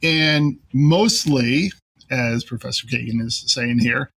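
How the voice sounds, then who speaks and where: A man's voice: mid-range voice, slow, creaky, a bit bright, slightly rough. Informal man explaining legal procedure. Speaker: podcast host and immigration lawyer. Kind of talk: casual explanatory panel talk.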